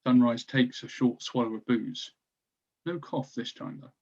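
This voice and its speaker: A man's voice: mid-range voice, measured pace, a little mellow, dull audio. A man reading stage directions as scripted narration for a screenplay table read.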